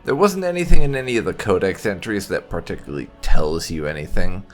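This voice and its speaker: A man hosting a video game playthrough, explaining things in halting, unscripted commentary as he thinks aloud. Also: low voice, expressive, soft background music. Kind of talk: unscripted let's-play commentary.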